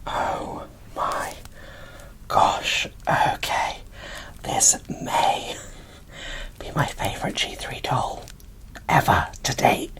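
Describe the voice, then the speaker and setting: A woman whispering casual, gushing ASMR commentary as she presents an unboxing, in a breathy, informal way. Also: very slow, long pauses, a bit bright.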